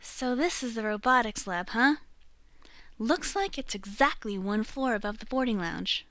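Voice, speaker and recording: A woman playing a perky, expressive teenage daughter and sidekick in a video game fandub. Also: fairly high pitch, normal speed, dry recording. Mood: perky, curious. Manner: girlish, expressive.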